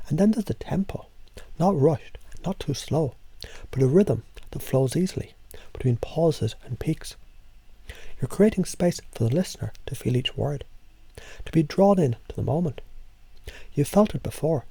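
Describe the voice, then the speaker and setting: A man leading a slow, hypnotic voice exercise as a coach, speaking softly and often half-whispering. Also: fairly high pitch, gravelly, husky, no echo. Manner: soft, calm, half-whispered delivery.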